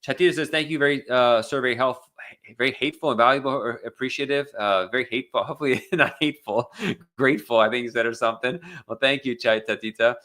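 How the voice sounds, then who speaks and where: Fast-talking man answering live questions. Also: medium pitch, fairly even intonation, fairly bright tone. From United States. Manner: quick, offhand, many restarts and fillers; reads viewer questions aloud then answers bluntly. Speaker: immigration attorney answering live chat questions. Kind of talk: casual live Q&A.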